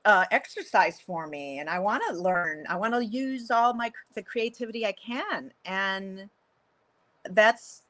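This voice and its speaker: Middle-aged woman guest, crisp bright tone, chatty and rambling, webcam audio from home. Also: unhurried. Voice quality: deeper voice for a woman. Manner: chatty, many fillers and restarts, laughs often. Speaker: guest, photographer and songwriter. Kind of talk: casual interview chat. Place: home room, video call.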